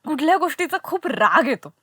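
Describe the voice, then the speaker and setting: A woman's voice: high-pitched, sing-song, fairly quick, fairly bright tone, dry recording, studio quality. A woman with an Indian accent hosting a casual podcast interview, giggly and slipping English into her talk. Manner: giggly, code-mixes with English. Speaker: podcast host.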